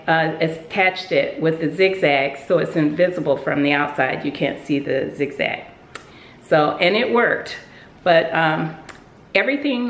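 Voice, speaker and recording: Chatty woman in an echoey room. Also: on the low side. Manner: chatty, many fillers and restarts. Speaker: sewing vlogger. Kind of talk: casual show-and-tell.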